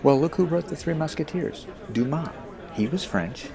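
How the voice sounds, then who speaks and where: A man playing a principled, friendly American high school boy who explains things earnestly in an audio drama. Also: lively intonation, moderate pace, gravelly, dark tone. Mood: explanatory. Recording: busy crowd noise behind.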